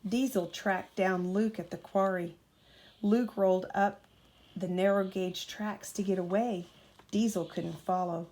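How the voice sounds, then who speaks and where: Female storyteller for children's bedtime book, unhurried and expressive, motherly role. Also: medium pitch. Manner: expressive, acts out character dialogue. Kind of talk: children's storybook read aloud.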